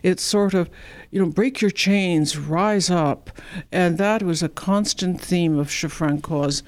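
A woman's voice: fairly low pitch, slow pace, darkish tone, no room sound. Enthusiastic woman giving a museum tour. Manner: enthusiastic, admiring. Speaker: museum board member. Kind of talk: guided tour talk.

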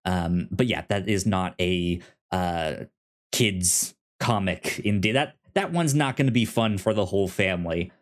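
A man co-hosting a casual chat and giving recommendations in an easygoing, halting way, as if thinking aloud. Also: low-pitched. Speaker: podcast co-host.

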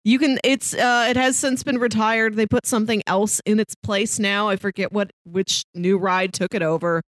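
A woman's voice: medium pitch, steady tone, moderate pace, dry recording. Chatty woman, close dry sound. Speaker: podcast co-host. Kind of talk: casual movie discussion.

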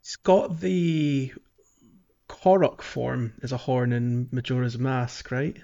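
A man's voice: medium pitch, fairly even intonation, slow, vocal fry, mellow, highs cut off. A man chatting casually as a panelist on a gaming podcast.

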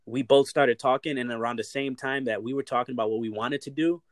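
Man, mid pitch, rambling casual talk, dry close sound. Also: steady tone, a little fast, no room sound. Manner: rambling, repeats "you know what I mean" and "like". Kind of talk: casual conversation.

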